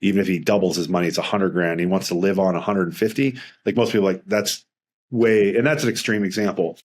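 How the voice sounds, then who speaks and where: A man's voice: low voice, steady tone, quick pace. A middle-aged man speaking as a financial advisor in a casual interview, earnest and rambling with frequent restarts.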